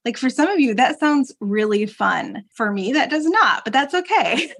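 A woman with a fairly high voice co-hosts a podcast. She talks in a relaxed, chatty way, with fillers, restarts and easy laughter. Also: normal speed, breathy edge. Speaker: podcast co-host. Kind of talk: casual podcast chat.

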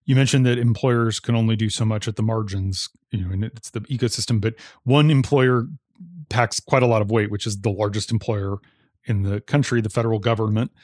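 A man's voice: lowish voice, fairly even intonation, brisk, darkish tone, no echo, studio quality. A man hosting an interview, putting prepared questions in an articulate, inquisitive manner. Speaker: interview host.

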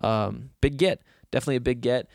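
Slightly raspy young man, dry close sound. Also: medium pitch, steady tone, quick pace, darkish tone, dry recording. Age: young adult. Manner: loose, self-deprecating, meandering with fillers. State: hoarse from yelling. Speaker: sports podcast host. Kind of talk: rambling casual podcast chat.

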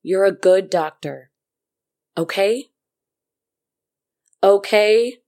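A woman's voice: medium pitch, very slow, darkish tone. A woman playing a sceptical, rational girlfriend in naturalistic audio drama dialogue, speaking bluntly. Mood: uneasy, questioning. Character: sceptical, exasperated girlfriend of a sleepless doctor.

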